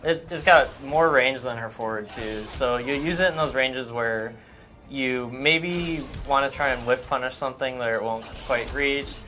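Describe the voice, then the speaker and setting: A man giving casual, technical gameplay commentary in a halting way. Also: mid-range voice, bright, forceful tone. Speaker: game commentator.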